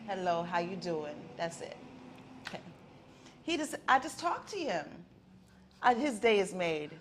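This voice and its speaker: A woman's voice: lowish voice, big pitch swings, measured pace, roomy sound, a little hiss or hum. A woman co-hosting a morning show, chatting playfully and laughing along. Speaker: co-host. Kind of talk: casual studio banter. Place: broadcast studio.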